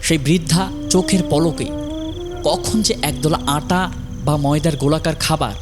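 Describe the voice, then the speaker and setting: A man's voice: fairly high pitch, normal speed, over music. A man giving dramatic scripted narration of a horror audio story.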